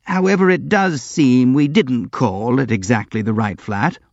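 A man's voice: medium pitch, unhurried, vocal fry, dry recording, muffled recording. A man voicing an elderly, boastful army general who speaks in a grandiloquent, effusive way. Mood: pompous. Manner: grandiloquent, effusive, self-pitying.

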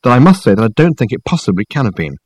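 A man's voice: mid-range voice, very animated, rapid-fire, a little mellow, husky, dry recording, voice pushed up. A man voicing an awkwardly polite, reasonable man with a hesitant, wry, exasperated manner in an audiobook. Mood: defensive.

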